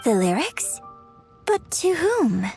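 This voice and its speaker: A woman's voice: high-pitched, sing-song, takes it slowly, gravelly, a little mellow, faint music behind, heavily acted. A woman voicing a graceful, polite young opera performer in a video game, thoughtful and articulate.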